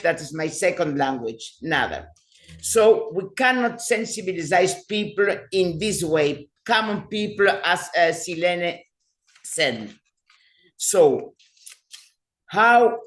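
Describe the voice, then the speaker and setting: A woman professor giving panel remarks on a video call, hesitant, with many fillers and restarts. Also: lowish voice.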